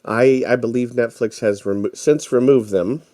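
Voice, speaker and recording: A man's voice: medium pitch, moderate pace, mellow, no room sound, studio quality. A man co-hosting a podcast, explaining things informally in casual chat. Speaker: podcast co-host.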